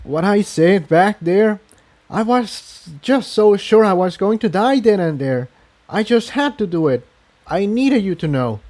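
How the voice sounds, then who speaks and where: A man voicing an anxious, apologetic female pony rebel in a storybook reading, speaking in a halting, earnest way. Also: high voice, lively intonation, a little mellow, pitched above the performer's own voice.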